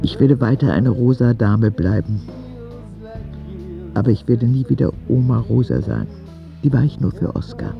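A man's voice: medium pitch, expressive, moderate pace, vocal fry, long pauses, dull audio, over music. A man playing a grieving old woman in a radio drama, reading her tender letter to God in a plain, intimate way.

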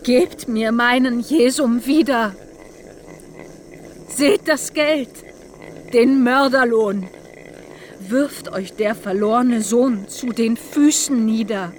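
An actress playing a sorrowing, tender believer, reciting devotional verse in a radio drama. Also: female voice, on the high side, slow, pauses often, slight background noise. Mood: pleading.

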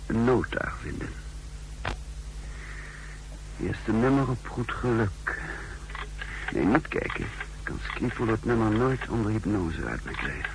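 A cool, calculating spy telling his own story in a 1960s radio play. His low, gravelly voice moves expressively through measured, literary narration. The old recording hisses clearly. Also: animated. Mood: brooding. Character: cool, calculating male secret agent recounting his mission.

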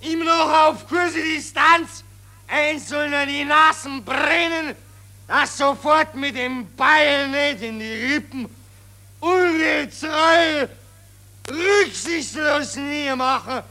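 Hysterical austrian army officer, radio drama, super high strained voice, bitter, sputters and repeats himself, really drawn out. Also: male voice, heavily acted. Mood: harsh, commanding. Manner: shouting, sputtering, breaking into halting repetition. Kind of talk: theatrical radio drama acting.

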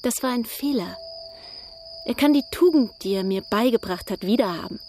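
An actress playing a headstrong, defiant young sister in a radio drama, intense, blunt and passionate. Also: female voice, on the high side, lively intonation, moderate pace, a little mellow, slight background noise, close to an everyday voice. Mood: angry, resentful. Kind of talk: German radio drama acting.

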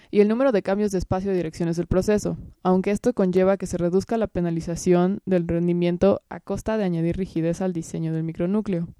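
A young woman as guest expert, giving a measured, lecture-like scripted explanation that lists points one by one. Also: mid-range voice, warm tone, clear, resonant tone, no echo, studio quality.

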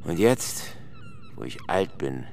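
An actor playing a ragged, wistful old ship's steward in a radio drama, deferential and rambling in short phrases. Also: male voice, sits low, wildly swooping pitch, slow pace, gravelly, a little mellow, slight background noise, full character voice. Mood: wistful, bitter. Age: older.

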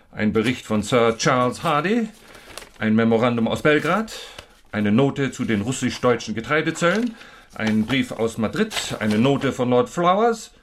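An actor playing an anxious, proud government minister, speaking formally and emphatically in a German radio drama. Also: male voice, mid-range voice, slight background noise. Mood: brisk, then startled.

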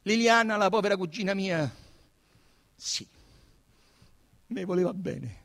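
A man playing an agitated young male murder suspect on stage, pleading and confessing in halting, insistent, self-justifying bursts. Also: sits high, gravelly, fairly bright tone, heavily acted. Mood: desperate confession. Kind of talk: theatrical dramatic monologue. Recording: reverberant hall.

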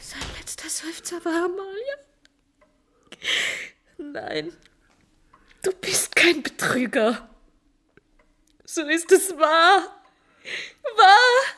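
A woman playing a devoted, grieving young noblewoman in a radio drama, breathy and intense, lingering on her words. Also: extremely high pitch, animated, extremely slow, pauses often, bright, forceful tone, exaggerated voice. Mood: desperate grief. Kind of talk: theatrical radio drama acting.

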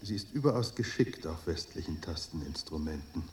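A man playing a courteous, secretive monastery host in a German radio drama, formal, measured and guarded. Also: a bass voice, lively intonation, slight background noise. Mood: politely evasive. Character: courteous, secretive Chinese lamasery host, serenely evasive.

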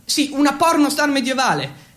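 A man's voice: very high-pitched, very animated, fairly bright tone, exaggerated voice. A man with an Italian accent playing an awkward, feverish teenage boy in a theatrical first-person monologue. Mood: rambling, awkward. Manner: halting and fumbling when speaking English.

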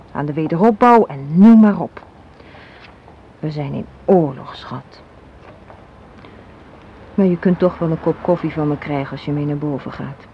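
An actress playing a hard-minded, cynical young woman in a Dutch radio play, arguing and lecturing with rhetorical questions. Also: sits low. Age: young adult. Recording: dull, noisy old radio recording.

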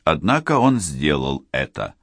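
A man reads a novel aloud with a measured, expressive delivery. His voice is very deep and swings widely in pitch. The sound is close and dry. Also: dry recording. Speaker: audiobook narrator. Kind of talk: scripted audiobook narration.

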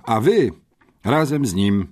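A man's voice: medium pitch, sing-song, slow pace, a little mellow. A man voicing a stern, domineering school headmistress in an audiobook, scolding with mocking sarcasm.

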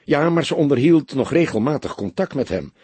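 Very husky and dry, this is a bitter young mother as acted out by a male narrator in an audiobook. She sounds upset and defensive, with scorn for men and a lively, lifted intonation. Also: a little high, fairly quick, dry recording, pitched above the performer's own voice. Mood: subdued, explaining. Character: young unmarried mother, bitter, upset, sober-mannered witness. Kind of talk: audiobook character dialogue.

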